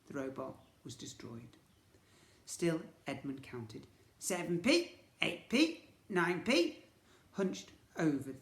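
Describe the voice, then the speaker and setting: A man reading a children's story as a teacher would, with dramatic, expressive narration. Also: medium pitch. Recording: echoey room.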